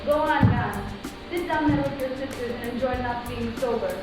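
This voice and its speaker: A woman plays a powerful alien matriarch, calm and coaxing, with a high voice that gives measured orders. It is a dramatic reading, heard from a distance under loud music. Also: very echoey, lightly acted. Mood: firm, caring. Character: powerful maternal alien official, calmly commanding, coaxing.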